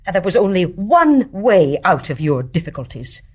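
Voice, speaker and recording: Embittered nurse in radio drama: low-pitched, husky, intense, accusing and anguished. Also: female voice, sing-song, moderate pace, a little mellow. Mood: cold accusing. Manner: fervent, scornful, emphatic delivery. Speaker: actor in a radio play. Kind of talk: intense stage-play dramatic acting.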